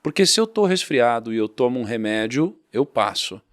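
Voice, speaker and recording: A man's voice: mid-range voice, big pitch swings, moderate pace, no room sound, studio quality. A Brazilian man talking casually on a podcast, telling his story and driving home points by repeating phrases. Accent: Brazilian Portuguese.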